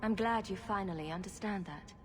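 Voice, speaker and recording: An actress playing a resolute, compassionate heroine in a fantasy video game. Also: female voice, mid-range voice, brisk, background noise, lightly acted. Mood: concerned, questioning.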